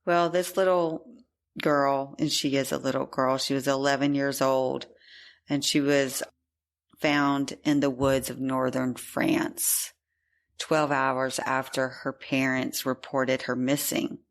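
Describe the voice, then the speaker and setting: Woman, low voice, true crime podcast co-host, chatty case recap. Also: flat delivery, slow pace. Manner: chatty, laughs easily. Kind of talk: reading true crime news in a conversational way.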